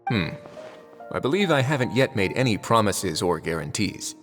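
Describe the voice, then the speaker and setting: Wry calm warden, lowish voice, long reverb, soft music behind. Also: male voice, normal speed. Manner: relaxed, wry understatement. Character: adult male prison warden, calm and dry-witted. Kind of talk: video game voice acting. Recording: game audio, reverb and music.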